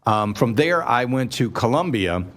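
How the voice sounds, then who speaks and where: A man's voice: mid-range voice, moderate pace. A man hosting a morning radio show, talking conversationally and telling anecdotes in a folksy way. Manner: folksy, animated anecdote telling with acted-out dialogue. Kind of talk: conversational live talk radio storytelling. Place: on a stage before a live studio audience.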